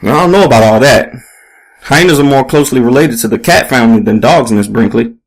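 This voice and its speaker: Man playing a guarded ex-champion boxer, medium pitch. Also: lively intonation, brisk, poor audio. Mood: evasive. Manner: matter-of-fact, evasive. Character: tall muscular retired male boxing champion, guarded, proud, amused.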